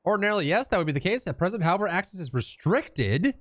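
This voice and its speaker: A man's voice: high voice, very animated, fast, a bit bright, pitched above the performer's own voice, full character voice. A man voicing an officious custodian from a fantasy game, stiff and formal.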